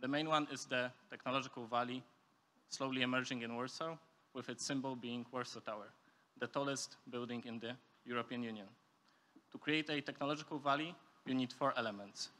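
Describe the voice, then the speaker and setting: A man's voice: medium pitch, fairly even intonation, brisk, sharp tone. A young man from Poland delivers a prepared, formal and structured speech as a student speaker. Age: young adult. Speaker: student speaker at a youth forum.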